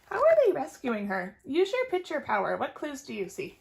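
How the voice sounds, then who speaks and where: A woman reading a picture book aloud as a teacher, expressive and putting questions directly to young listeners. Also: high voice, moderate pace, creaky. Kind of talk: animated children's read-aloud with questions.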